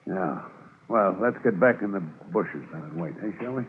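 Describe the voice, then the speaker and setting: An actor playing a firm, dutiful Mountie constable in a 1950s radio drama. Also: male voice, low-pitched, animated, normal speed, narrow, tinny audio. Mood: hushed, watchful. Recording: noisy band-limited 1950s radio recording.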